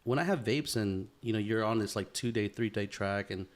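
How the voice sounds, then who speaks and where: A man's voice: on the low side, brisk. A young man co-hosting a podcast, chatting casually and telling a story with lots of fillers and laughs. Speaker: podcast co-host. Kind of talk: casual chat.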